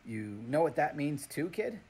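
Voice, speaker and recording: A man's voice: fairly high pitch, very animated, normal speed, a little mellow. A man playing a fatherly police inspector in an old-time radio drama, incredulous and emphatic. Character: questioning police inspector, father of the young detective. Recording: noisy amateur radio play recording.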